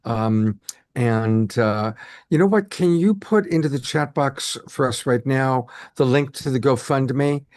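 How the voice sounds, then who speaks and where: A man's voice: mid-range voice, measured pace, darkish tone, no echo, clean studio sound. A man hosting a live show, hesitant and full of ums as he explains the next steps. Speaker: host.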